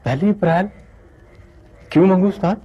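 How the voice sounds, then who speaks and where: A man's voice: high-pitched, normal speed, slightly rough. A man playing a curious, deferential friend in an Urdu television film, speaking in a questioning way. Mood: puzzled. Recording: dull film sound under loud music.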